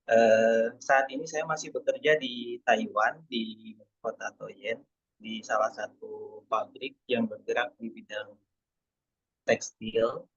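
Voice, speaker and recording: A man's voice: medium pitch, fairly even intonation, slow pace, slightly rough, no room sound, dull audio. A man from Indonesia, speaking casually on a webinar with many hesitations and fillers.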